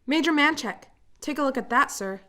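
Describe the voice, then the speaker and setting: Radio drama announcer, female actor: crisp, factual, sharp bright voice. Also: sits high, expressive, fairly quick. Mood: matter-of-fact.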